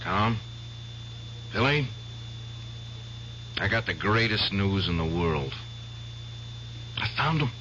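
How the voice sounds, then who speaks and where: An actor playing an obsessed war veteran in a 1970s radio drama, intense and bitter. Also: male voice, deep voice, lively intonation, slow pace, long pauses, sharp tone, slight background noise, heavily acted. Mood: menacing. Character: obsessed veteran hunting an old comrade. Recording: dull vintage radio broadcast.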